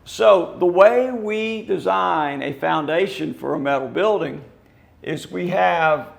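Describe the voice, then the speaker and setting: An older man talks through building plans, his voice hoarse and rough with fry. He speaks slowly, pausing often with ums and restarts, and his pitch sits a touch high for a man. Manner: slow, rambling, with many fillers and restarts. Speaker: company representative. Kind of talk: casual explainer walking through building plans.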